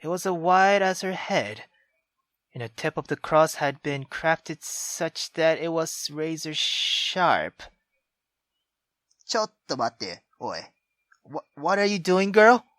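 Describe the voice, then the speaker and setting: A young man playing a visual novel on camera, reacting loudly and excitably with lots of swearing. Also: on the high side, slow, dry recording. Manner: loud, excitable, heavy swearing. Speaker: gaming YouTuber. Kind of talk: let's-play commentary.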